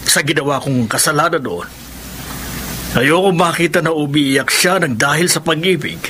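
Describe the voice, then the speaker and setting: A man's voice: on the high side, normal speed, pushed and bright, slight background noise. A man with a Filipino accent playing an exasperated, anxious middle-aged father in a radio drama. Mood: worried.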